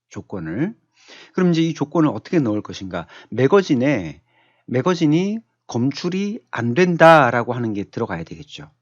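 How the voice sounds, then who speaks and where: A man giving an unscripted software tutorial as an instructor, explaining step by step and asking rhetorical questions. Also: medium pitch, dry recording, dull audio. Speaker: automation instructor. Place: dry-sounding voice-over on a screen recording.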